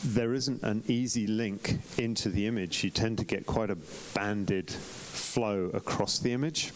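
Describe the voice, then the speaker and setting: A man's voice: lowish voice, steady tone, measured pace, a little hiss or hum, low-quality recording. A man reviewing competition photographs on a live stream in a chatty way, often restarting sentences with ums. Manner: chatty, self-correcting, frequent ums and restarts. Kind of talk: live-streamed club critique.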